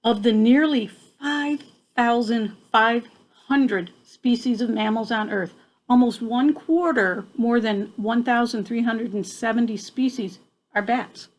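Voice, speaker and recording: A woman's voice: fairly high pitch, slow, rough recording. An older woman, a grandmother reading a picture book to children expressively, with playful, exclamatory asides.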